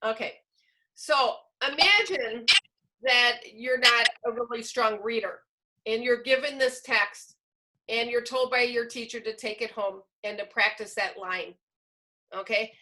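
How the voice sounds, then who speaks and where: A woman's voice: a little high, a little slow, bright, forceful tone, low-quality recording. A woman presenting a teacher-training session, explaining informally with examples as she answers questions. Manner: explanatory, illustrates points with examples.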